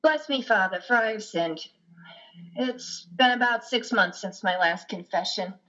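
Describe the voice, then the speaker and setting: A woman playing a guilt-ridden, flustered mother at confession, rambling and halting in a play reading. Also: mid-range voice, sharp tone. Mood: sheepish confession. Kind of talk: play reading on a video call. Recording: dull, lo-fi video call.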